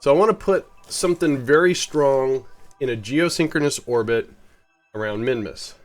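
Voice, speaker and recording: A man's voice: medium pitch, normal speed, faint music behind. Man with a head cold streaming a game. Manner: rambling, self-correcting, chuckles at himself. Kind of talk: casual live-stream commentary.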